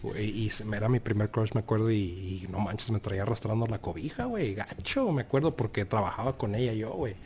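A man with a Mexican Spanish accent, co-hosting a casual chat, relaxed and rambling with heavy slang and swearing. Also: lowish voice, fast talker, few pauses, crowd noise.

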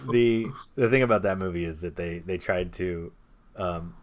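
A man's voice: deep voice, normal speed. A man co-hosting a podcast, talking casually about movies in an informal, slangy way. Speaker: podcast co-host.

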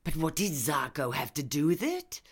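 A woman voicing an earnest captive nurse in an audiobook, questioning and accusing in a clear manner. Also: deep voice, sing-song, normal speed, full character voice. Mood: accusing, probing. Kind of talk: audiobook character dialogue.

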